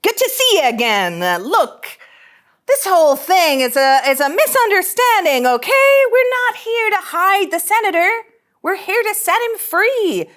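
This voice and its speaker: A woman playing a cocky, teasing young starship officer in a sci-fi cartoon table read, casual and excitable. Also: extremely high pitch, measured pace, heavily acted. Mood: urgent, bluffing.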